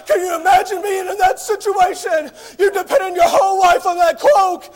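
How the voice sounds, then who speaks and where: A man's voice: very high voice, steady tone, moderate pace. A man preaching an impassioned revival sermon, crying out with frequent "oh" interjections.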